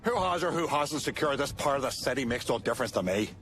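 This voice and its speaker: Irish mob boss man acting, mid-pitched, gruff and profane, wry schemer in a crime game, faint music behind. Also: lively intonation, quick pace, a bit bright, lightly acted. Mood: stern.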